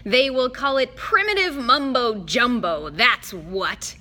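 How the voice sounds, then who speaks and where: A woman's voice: fairly high pitch, lively intonation, slow pace, sharp tone, voice pushed up, exaggerated voice. A woman voicing a petulant, haughty male wine god who pouts like a sulky child. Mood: scornful. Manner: whiny, pouting, theatrical.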